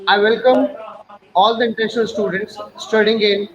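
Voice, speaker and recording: Male webinar host, formal but halting, lo-fi video call sound. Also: high voice, steady tone. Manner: halting, frequent restarts and fillers. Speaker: webinar host, university director. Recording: dull lo-fi call audio with faint noise.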